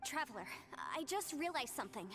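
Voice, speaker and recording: An actress voicing a bright, studious young half-immortal woman in a video game, earnest and keen to explain. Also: high-pitched, lively intonation, fairly quick, soft background music. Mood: eager, explaining.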